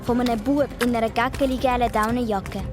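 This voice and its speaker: A woman playing a brisk, take-charge child twin with a Swiss German accent in a children's radio play, speaking colloquially. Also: on the high side, fairly even intonation, close to an everyday voice. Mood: brisk, eager. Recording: music behind the voice.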